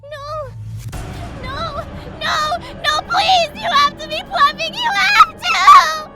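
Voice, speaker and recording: Frantic, very high-pitched little girl. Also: lively intonation, slow, over music, heavily acted. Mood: anguished outrage. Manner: pleading, shouting, tearful. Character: small frantic young girl, fiercely protective, grief-stricken. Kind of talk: audio drama acting.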